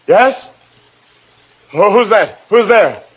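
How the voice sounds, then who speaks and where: An actor playing an obsessed, unhinged husband in an old-time radio thriller, theatrical and halting, moving between muttering and frantic intensity. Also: male voice, sits high, animated, noisy background. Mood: frantic, calling out. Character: obsessed, unhinged husband researching ghosts, secretly murderous. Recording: dull vintage radio recording with music.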